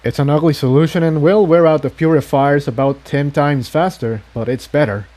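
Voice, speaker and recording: A man's voice: a little high, brisk, mellow, lightly acted. A man voicing an earnest, self-sacrificing male unicorn pony in a storybook reading, talking in a practical, reassuring way.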